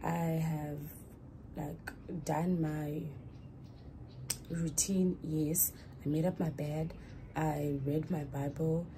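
A woman's voice: low-pitched, flat delivery, slow pace, long pauses, noisy background. A young woman, chatting to her phone camera in a small dorm room in a rambling, self-correcting way. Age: young adult. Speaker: student vlogger. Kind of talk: casual vlog chat to camera. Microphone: phone camera at arm's length.

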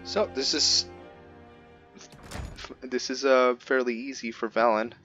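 Male gamer commentator, deep but forward tone, thoughtful and halting, faint music behind. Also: level tone, pushed and bright. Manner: musing, halting. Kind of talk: casual game commentary. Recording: dull lo-fi mic, faint music. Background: faint game music.

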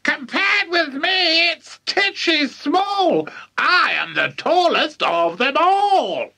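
A cackling old grandma in a storybook reading. The voice is shrill and very high, crowing out boasts in long, drawn-out shouts. The temper is gleeful and cocky, and the pitch swoops about. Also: male voice, sing-song, gravelly, no echo, heavily acted. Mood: boastful.

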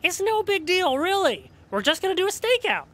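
An actor playing an eager, scheming sci-fi fan in a comedic audio drama, excitable and persuasive. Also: male voice, extremely high pitch, a little fast, slight background noise, heavily acted. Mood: persuading.

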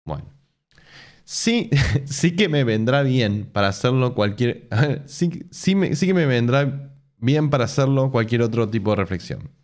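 A man's voice: medium pitch, lively intonation, unhurried, darkish tone. A man in his thirties with an Argentine accent, reading a post aloud on a home stream and breaking off for sarcastic, laughing asides. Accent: Argentine Spanish. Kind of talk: casual live-stream reading with mocking asides. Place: home room with bookshelves.

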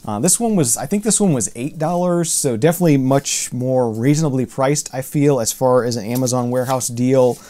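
A man's voice: medium pitch, a little fast, few pauses. A man in his thirties casually reviewing vinyl records to camera in a room lined with record shelves, talking in a loose, rambling way with lots of fillers and restarts. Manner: relaxed, rambling, many fillers and restarts, mildly exasperated. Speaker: vinyl collector vlogger.

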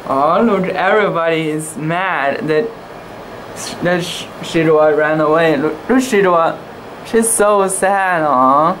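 A young man giving a dramatic children's book reading, expressive and cooing, with asides about the pictures. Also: a little high, low-quality recording. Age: young adult. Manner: expressive, cooing, drawn-out words. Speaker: storybook reader. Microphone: camera at close range. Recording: steady background hum, outdoor noise.

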